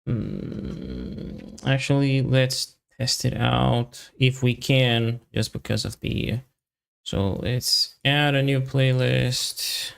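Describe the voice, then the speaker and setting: Slow, very creaky man thinking aloud. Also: medium pitch, steady tone. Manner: halting, muttering, half-finished phrases. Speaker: live-stream coding host. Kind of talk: thinking aloud while live coding.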